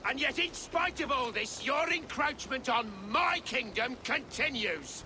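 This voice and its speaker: A proud medieval king in a game drama, very high in pitch and slow, declaiming with haughty scorn for pagans. Clear outdoor noise surrounds his voice. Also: animated, bright, forceful tone, heavily acted. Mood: scornful. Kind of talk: dramatic game cutscene acting. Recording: noisy outdoor ambience behind.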